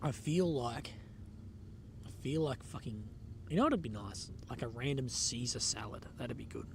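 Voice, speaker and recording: A man's voice: mid-range voice, normal speed, background noise. Stuffy-nosed man streaming outdoors. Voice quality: stuffy nose, a bit unwell. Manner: relaxed, rambling, lots of fillers and "like". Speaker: livestreamer reporting from a conflict zone. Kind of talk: casual live-stream chat reading comments. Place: outdoors at night in a war zone.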